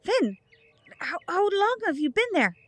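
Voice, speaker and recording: A woman playing a protective, gentle mother of a magical bloodline in an audio drama, with measured, solemn phrasing. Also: very high voice, very animated, dry recording, exaggerated voice. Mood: anxious, soothing.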